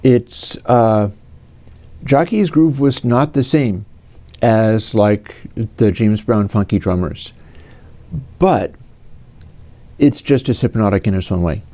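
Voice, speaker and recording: A man's voice: on the low side, takes it slowly, long pauses, warm tone. A man in his fifties from the United States, answering viewer questions in a casual, rambling way with many fillers and restarts. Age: 50s.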